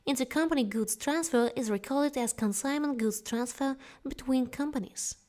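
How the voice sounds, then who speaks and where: A woman's voice: high voice, normal speed, darkish tone. A woman instructor reading a scripted tutorial narration, giving step-by-step directions. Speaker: software course instructor.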